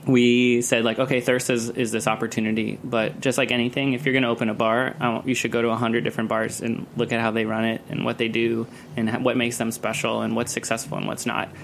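A man's voice: mid-range voice, flat delivery, fast talker, gravelly, few pauses, a little hiss or hum. A man speaking as an interview guest, casually explaining his work in a chatty way with plenty of "like". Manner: chatty, uses "like" often. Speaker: guest, cocktail festival organizer. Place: radio studio.

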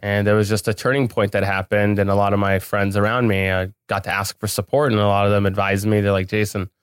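A man's voice: fairly low pitch, level tone, fast, clear, resonant tone, dry recording. A man speaking casually as an interview guest on a podcast, recorded in a studio.

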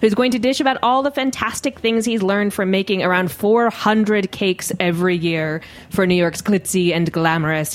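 Chatty woman radio co-host in her thirties. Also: lowish voice. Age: 30s. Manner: chatty, teasing, eager. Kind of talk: casual radio chat. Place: radio studio.

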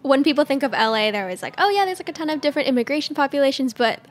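A woman's voice: high voice, lively intonation, rapid-fire. A woman from Southern California with an American accent, hosting an interview podcast. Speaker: podcast host. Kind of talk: scripted intros and conversational interview.

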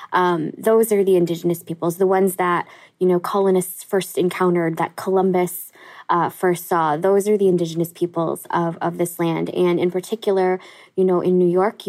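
Laid back lady guest on a podcast, kinda low voice, says um a lot. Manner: relaxed, many fillers like um and uh. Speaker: guest, director of education. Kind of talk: interview conversation.

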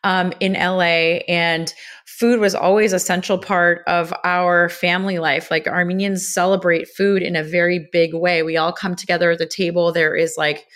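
A woman's voice: on the low side, level tone, normal speed, a bit bright. A woman in her thirties from Los Angeles, a cookbook author and food blogger talking candidly as a guest in a podcast interview. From Los Angeles, USA. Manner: candid, open, chatty with small restarts.

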